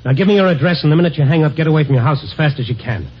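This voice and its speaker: An actor playing a wry, wisecracking hard-boiled reporter who also narrates a 1950s radio drama, heard on a dull old broadcast recording with music behind. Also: male voice, on the high side, animated, rapid-fire, slight background noise, natural voice. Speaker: narrator and lead.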